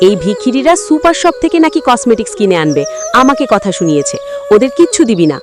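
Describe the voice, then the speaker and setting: A woman's voice: fairly high pitch, very animated, fast talker, over music. A woman voicing a haughty, mean shopkeeper mother in a children's cartoon, snobbish and scornful. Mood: scolding, indignant.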